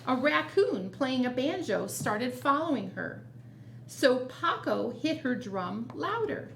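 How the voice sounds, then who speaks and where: Lady doing storytime for kids, expressive w little asides, echoey room, bit of noise. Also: high voice, takes it slowly, creaky. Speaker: library storytime reader. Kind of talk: expressive picture-book read-aloud for children. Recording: roomy echo, noticeable background noise.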